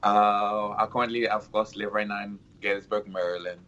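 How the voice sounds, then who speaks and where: A man's voice: lowish voice, steady tone, normal speed, fairly bright tone, dull audio. A man from Haiti whose first language is Haitian Creole, a guest on a casual podcast, talking in a friendly, rambling way and laughing often. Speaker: guest, fitness instructor.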